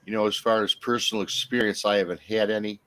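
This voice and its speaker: A low-pitched American man in casual podcast conversation. He rambles in a halting way, often circling back over what he has started to say. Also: steady tone, fairly bright tone. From Michigan, United States. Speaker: guest, Bigfoot researcher and podcaster. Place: remote livestream call.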